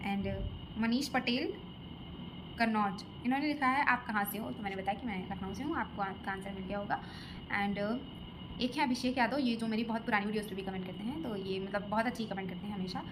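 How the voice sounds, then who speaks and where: A woman's voice: mid-range voice, brisk. A young woman from Lucknow, vlogging casually as she answers viewer comments about her exam preparation, talking in a chatty, hesitant way with many fillers and restarts. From Lucknow, India. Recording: audible background noise.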